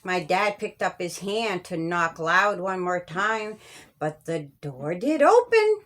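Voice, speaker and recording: A woman's voice: lowish voice, sing-song, slow, a bit bright. A woman reading her own children's story aloud in rhyming verse, with a sing-song cadence.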